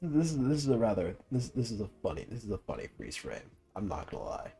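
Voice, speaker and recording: A man's voice: fairly low pitch, wildly swooping pitch, quick pace, vocal fry, warm tone, faint music behind. A young man giving casual, joking game reaction commentary, teasing and slangy. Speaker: gaming video host.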